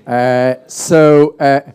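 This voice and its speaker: A man with a Northern Irish accent introducing himself in a measured, self-deprecating way on a church panel, holding a microphone in a large conference room. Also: mid-range voice, fairly even intonation, darkish tone, clean tone. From Northern Ireland. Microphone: handheld microphone.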